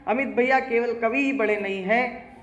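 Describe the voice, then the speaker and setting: A man's voice: very high-pitched, moderate pace, a bit bright. A man performing comic poetry on a live stage, teasing and talking straight to the audience. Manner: teasing, addresses audience directly. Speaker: comic poet. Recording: echoey live venue.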